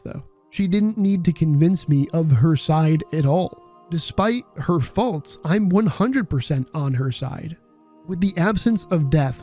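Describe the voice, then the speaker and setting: A man's voice: on the high side, warm tone. A man in his twenties giving an earnest, reflective scripted voice-over narration for a video essay. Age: 20s. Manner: earnest and contemplative.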